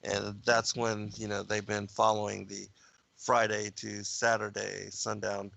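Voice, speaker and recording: A male guest explaining calendar dates in a casual talk, halting with many fillers. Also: fairly low pitch, completely flat delivery, creaky, pushed and bright, no room sound, poor audio. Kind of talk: casual discussion of biblical calendar.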